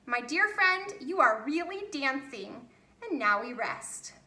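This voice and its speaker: A confident, kindly dancing he-bear from a children's storybook, played by a woman. He encourages and instructs in a reassuring way, with a high, bright voice. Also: big pitch swings, slow, heavily acted. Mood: instructive, pleased. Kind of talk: children's storybook character reading. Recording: roomy, echoey home recording.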